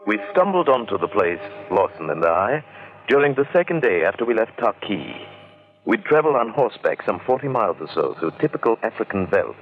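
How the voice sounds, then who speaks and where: An actor playing an adventurer who recounts a weird tale in literary, descriptive 1940s radio drama style. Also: male voice, on the low side. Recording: tinny old radio recording, loud music.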